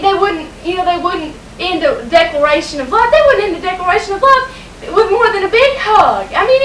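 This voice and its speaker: A woman's voice: very high voice, fairly bright tone, a little hiss or hum, heavily acted. A woman playing a gushing romantic young woman who rambles breathlessly with many restarts. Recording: echoey room, far from the mic.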